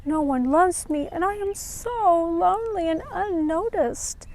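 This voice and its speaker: Woman voicing a high-pitched, plaintive little flower. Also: measured pace, a little mellow, rough recording. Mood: lonely, tearful. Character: shy, lonely, sad little flower, young female.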